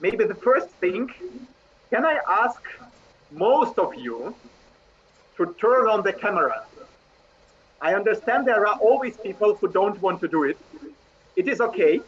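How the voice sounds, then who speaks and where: A man's voice: sits high, breathy edge. Polite man on a video call. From Russia. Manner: polite, halting, with fillers. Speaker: workshop presenter, math teacher. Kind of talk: online workshop talk. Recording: dull video call audio with faint noise.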